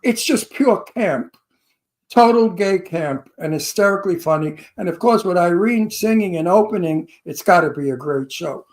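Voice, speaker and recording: A man's voice: high voice, lively intonation, moderate pace. Effusive man in his eighties, emphatic. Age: 80s. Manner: effusive and emphatic, gushing compliments; repeats words when starting sentences. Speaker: co-host. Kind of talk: celebrity interview chat.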